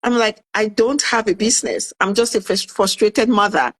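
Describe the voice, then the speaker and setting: A woman's voice: mid-range voice, moderate pace. A woman in her fifties from Cameroon, a guest telling her story in a casual interview and acting out what she said back then. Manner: animated, acts out her own past remarks in quotes. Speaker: guest, author and food entrepreneur.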